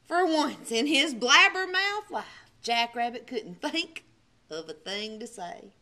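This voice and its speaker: A woman storyteller reads for children in a high, bright voice. She is theatrical and emphatic, taking her time and swooping widely in pitch, sometimes dropping to a hush. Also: animated, slow pace. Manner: theatrical, emphatic, with hushed dramatic drops. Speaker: librarian storyteller. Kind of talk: animated children's storybook narration.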